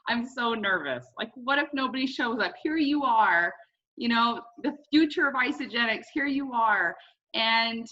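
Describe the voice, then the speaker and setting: Bright-voiced woman hosting an online call. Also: mid-range voice. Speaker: host introducing a guest. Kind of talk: personal storytelling on a group online call. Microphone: video call. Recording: dull lo-fi online call audio.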